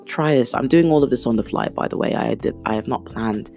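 A woman's voice: a bass voice, fairly quick, gravelly, dark tone, soft background music. A woman in her thirties, born in Kenya with Swahili as her first language, hosting an unplanned podcast and rambling with lots of fillers. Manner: rambling, chatty, self-deprecating with many fillers. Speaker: podcast host. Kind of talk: unplanned casual monologue.

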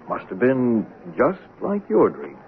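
An actor playing a gentle, humouring policeman with a hesitant, placating manner in an old-time radio drama. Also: medium pitch, wildly swooping pitch, slow, gravelly, heavily acted. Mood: earnest persuasion. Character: gentle, humouring police officer, soothing and sly. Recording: narrow, noisy old radio recording.

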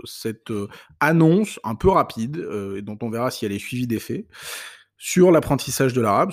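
Middle-aged male podcast host, mid-pitched voice, deliberate and emphatic, pauses often to find words. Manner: measured, emphatic, frequent hesitations. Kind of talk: opinion monologue.

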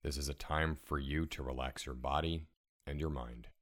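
Very deep-voiced man guiding meditation. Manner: calm, soothing, measured, instructional. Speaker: meditation guide, podcast host. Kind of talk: guided relaxation meditation narration.

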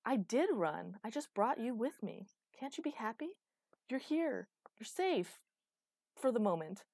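A woman's voice: mid-range voice, a little fast, warm tone, dry recording, voice pushed up, lightly acted. A woman voicing a small, desperate, defiant male smith who is lovelorn, in a storybook narration.

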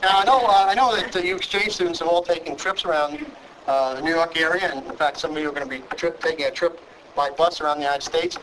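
This is a man with a Brooklyn accent who talks quickly and sits a bit high in pitch. He hosts an interview, asking friendly questions and often restarting his sentences. A faint hiss runs behind his voice. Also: fairly bright tone, poor audio. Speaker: talk show host. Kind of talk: interviewing guests.

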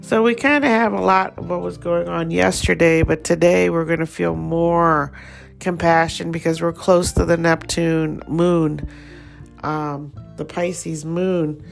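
Gravelly Thai-accented woman astrologer. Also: fairly low pitch, measured pace, soft background music. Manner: relaxed, rambling, conversational. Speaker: astrology host. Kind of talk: casual daily astrology forecast.

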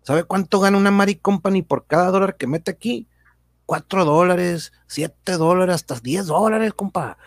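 A man's voice: high-pitched, normal speed, dry recording. Educational radio drama: indignant, folksy male explainer acted, colloquial, dry sound. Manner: colloquial, rhetorical questions, tag words.

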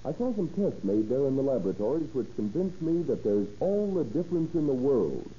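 A muffled, faintly humming old radio recording carries a man acting as a folksy service station owner. His very dark-toned voice swings widely in pitch as he makes an eager, persuasive sales pitch in 1930s radio style. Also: big pitch swings, fairly quick, close to an everyday voice. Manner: emphatic, persuasive.

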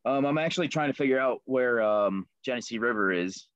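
A man's voice: mid-range voice, animated, brisk, fairly bright tone, clean tone, no room sound. A man guest talking casually about the fishing lures he makes, easygoing, with many ums and fillers. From Liverpool, New York. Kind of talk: casual interview chat.

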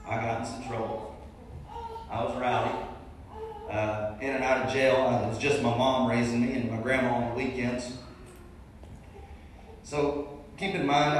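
A young man preaches his testimony in a large church, and his voice rings through a very echoey hall. He is picked up from a distance, with faint room noise underneath. His delivery is casual, rambling, and self-deprecating. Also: medium pitch. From Arkansas. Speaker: guest preacher. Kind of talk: testimony sermon to a congregation.

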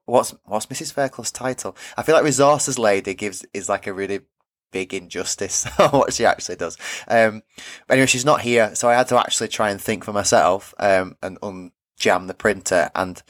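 A man's voice: fairly low pitch, no room sound, studio quality. Nasal man with a head cold. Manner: chatty, self-deprecating, laughs often. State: has a cold, nasal and sore throat. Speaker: podcast host and primary teacher. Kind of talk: light-hearted podcast chat.

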